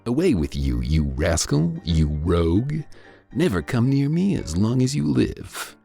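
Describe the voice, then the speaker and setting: A man's voice: on the low side, sing-song, a little slow, vocal fry, warm tone, slightly rough, faint music behind, pitched above the performer's own voice, full character voice. A man doing a scornful, stern preacher. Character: stern middle-aged male preacher, scornful.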